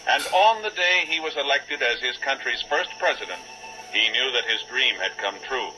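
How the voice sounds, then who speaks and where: A man's voice: medium pitch, animated, moderate pace, close to an everyday voice, low-quality recording. A man narrating a 1940s radio drama in a solemn, declamatory style. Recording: old radio broadcast, loud music behind.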